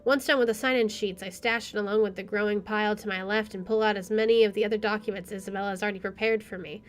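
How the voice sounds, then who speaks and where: Young woman gamer, medium pitch, reading aloud. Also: steady tone, quick pace. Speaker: gaming streamer. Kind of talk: reading a visual novel aloud, with casual commentary.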